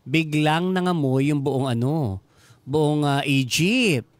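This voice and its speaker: A man's voice: fairly high pitch, slow pace, dry recording. A man with a Filipino accent telling comic stories on a radio show in a chatty, teasing way, acting out the dialogue. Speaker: radio show storyteller and jokester. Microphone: close studio microphone.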